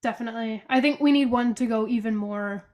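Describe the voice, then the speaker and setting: A woman's voice: on the high side, fast talker, darkish tone. A young woman with a cold and a stuffy, congested voice, co-hosting a casual podcast from her bedroom over a video call, chatty and upbeat with lots of fillers. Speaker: podcast co-host. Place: bedroom at home.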